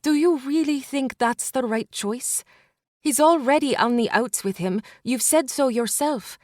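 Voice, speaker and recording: An insistent, protective young woman from an audiobook drama, as the woman reader puts her on. She talks in a raised, pressing voice that keeps pushing hard truths. Close, dry recording with a measured pace. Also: sits high, darkish tone, no room sound, pitched above the performer's own voice. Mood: urgent. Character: blunt young woman friend, urgent and protective.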